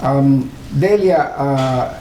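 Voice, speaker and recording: Male oral-history interviewer, halting careful questions, very roomy sound with faint noise. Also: mid-range voice, mellow. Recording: echoey room with background noise.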